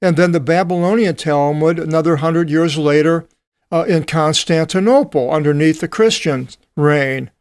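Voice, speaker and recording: A man teaching a Bible study, reading passages aloud and commenting on them in a deliberate way with frequent pauses. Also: fairly high pitch, darkish tone, smooth, no echo, clean studio sound.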